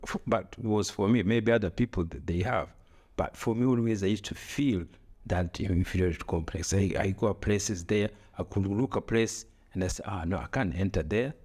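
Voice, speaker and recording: A middle-aged East African man speaks in a deep voice with accented, second-language English. He talks reflectively, as on a podcast, often repeating a phrase before finishing the thought. He poses rhetorical questions as he reasons. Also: moderate pace, a little mellow, slightly rough. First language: not English. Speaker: podcast co-host. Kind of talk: reflective conversational podcast talk.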